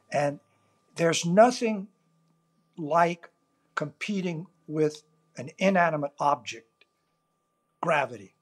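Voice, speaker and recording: An older man from South Louisiana speaks with a Cajun accent, his first language being Cajun French. His voice sits a bit high for a man and carries a husky edge. He answers questions in an earnest, reflective way, pausing between his thoughts. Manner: earnest storytelling, measured pauses. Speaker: author and interview guest.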